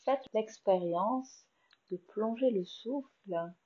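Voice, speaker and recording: A woman with a French accent slowly guiding a yoga nidra meditation in a soft, soothing voice. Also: medium pitch, husky, dull audio. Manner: slow, soft, soothing guidance with long pauses. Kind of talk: guided meditation.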